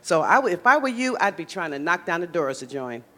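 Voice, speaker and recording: A new church member giving a playful, enthusiastic testimony to the congregation. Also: female voice, on the low side, very animated, a little fast, sharp tone. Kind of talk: short testimony.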